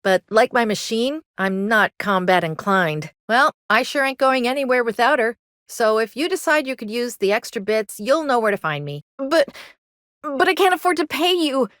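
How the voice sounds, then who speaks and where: An earnest, anxious young woman mechanic in a sci-fi video game. Her voice sits fairly high and carries a folksy Southern US drawl. She comes across as apologetic and sincere, recorded dry without room sound. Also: expressive, moderate pace, a bit bright, dry recording. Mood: earnest, concerned, then distraught.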